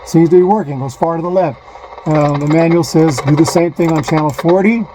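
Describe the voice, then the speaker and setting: A man with a somewhat high voice talks through a hands-on demonstration in a casual, halting way. He sounds like he is working things out as he goes. The phone recording from inside a van carries noticeable radio static and clicking. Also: mellow, poor audio. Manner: halting, thinking aloud while handling equipment. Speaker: vlogger, amateur DIY installer. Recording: clear background noise, radio static and clicks. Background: CB radio static and knob clicks.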